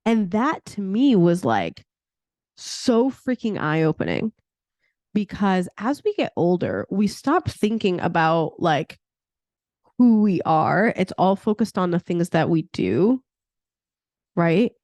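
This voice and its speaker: A woman's voice: fairly low pitch, very animated, slow, a little mellow, no echo, clean studio sound. A woman in her thirties hosting her own podcast in a chatty, casual way, peppering her talk with "like" and laughing at herself. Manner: chatty, laughs often, fillers like "like". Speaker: podcast host.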